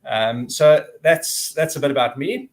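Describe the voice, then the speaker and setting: A man's voice: medium pitch, lively intonation, a little slow. A man in his forties with a South African accent, presenting in a friendly, chatty way on a video call webinar. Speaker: guest presenter.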